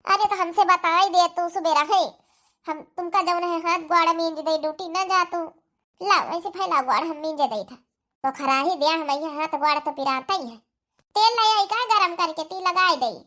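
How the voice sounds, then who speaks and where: High, bright, fussing Awadhi village wife by a woman. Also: moderate pace. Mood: devoted. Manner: chatty, reassuring. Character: fussing, devoted village daughter-in-law and wife. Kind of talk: Awadhi comedy cartoon dialogue.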